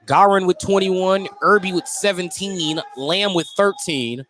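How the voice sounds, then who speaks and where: An American man doing live radio play-by-play of basketball, with clipped running descriptions and drawn-out calls on baskets. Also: high voice, fairly bright tone. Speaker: play-by-play commentator. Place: courtside in a basketball gym. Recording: some noise, gym ambience.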